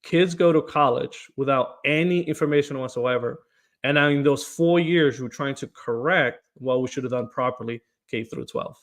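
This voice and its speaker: A man speaks as a candidate in a podcast interview, earnest and steady at a middle pitch. He often stumbles into his phrases by repeating a word before pressing his point. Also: moderate pace. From Portugal. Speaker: political candidate.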